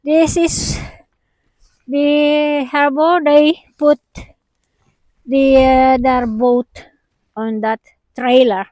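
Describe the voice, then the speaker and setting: A woman's voice: high-pitched, little pitch movement, darkish tone, dry recording, low-quality recording. Chatty Filipino woman vlogging outdoors. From Philippines. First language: Tagalog. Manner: chatty, halting, mixes in Tagalog, laughs often. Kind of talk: casual travel vlog walking tour. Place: outdoors by a windy harbor.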